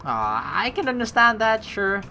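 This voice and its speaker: Animated young woman with a bright voice. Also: medium pitch, measured pace. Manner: rambling, conversational, peppered with "you know". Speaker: let's play streamer. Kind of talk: casual game commentary mixed with reading dialogue aloud.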